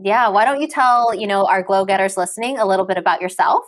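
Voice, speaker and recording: A woman's voice: mid-range voice, fast. A woman hosting a podcast interview in a friendly, upbeat, casual way. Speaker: podcast host.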